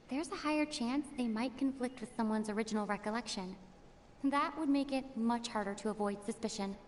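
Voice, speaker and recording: Actress playing a calm thoughtful woman, video game, slightly high, pondering, reverberant, faint noise. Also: lightly acted. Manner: measured, pondering.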